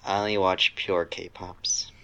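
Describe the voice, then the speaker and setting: A man co-hosting a podcast in casual chat, with a deadpan, dryly joking manner. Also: sits low, slow pace, a little hiss or hum, poor audio. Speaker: podcast co-host.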